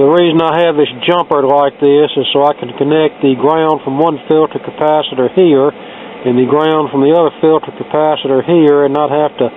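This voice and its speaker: A man narrating a hands-on repair casually, in a plain-spoken, folksy, matter-of-fact way. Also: fairly high pitch. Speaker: electronics repair hobbyist. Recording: tinny band-limited audio with steady background hiss.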